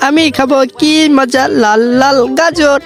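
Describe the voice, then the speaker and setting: A performer voicing a playful young animal in a children's cartoon, excited and childlike. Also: high voice, unhurried, soft background music, voice pushed up. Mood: gleeful.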